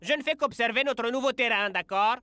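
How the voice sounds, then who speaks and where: A man's voice: extremely high pitch, fairly quick, a crowd in the background. A man playing a scheming football club boss in a French cartoon dub, pompous, mock-sincere and melodramatic. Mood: feigned innocence. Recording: music behind the voice.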